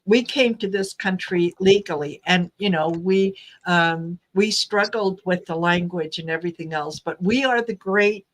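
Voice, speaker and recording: Low-voiced woman in casual chat. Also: measured pace, few pauses. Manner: chatty, recounts at length with fillers. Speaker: co-host.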